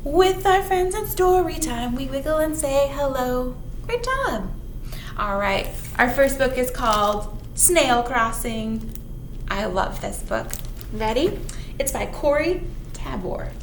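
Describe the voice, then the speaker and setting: A woman's voice: high-pitched, animated, slow, echoey room, a little hiss or hum. A woman leading a children's storytime, reading aloud in a singsong way and chatting to young listeners.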